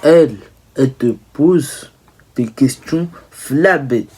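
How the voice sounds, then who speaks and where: Young male vlogger, mid-pitched and mellow, casual teasing delivery with stumbles and self-corrections. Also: slow, breathy edge, soft background music. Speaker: video creator. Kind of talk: casual humorous commentary.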